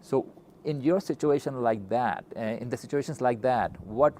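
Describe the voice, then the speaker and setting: A middle-aged man with a Pakistani accent, interviewing and telling stories in a conversational, animated way. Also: medium pitch, normal speed. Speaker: interviewer, physician hosting a talk. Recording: noticeable steady background noise.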